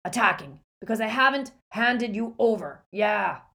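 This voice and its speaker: A woman voicing a gruff, blunt older male barkeeper who scolds. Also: fairly high pitch, expressive, moderate pace, sharp tone, pitched above the performer's own voice, heavily acted. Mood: gruff, scolding.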